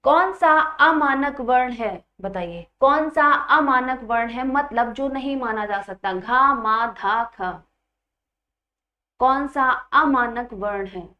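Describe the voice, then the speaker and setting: High-pitched woman teaching, dull recording. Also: slow pace. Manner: instructional, addresses students with questions and repeats key points. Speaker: teacher for exam preparation. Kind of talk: Hindi grammar lesson, explaining quiz questions.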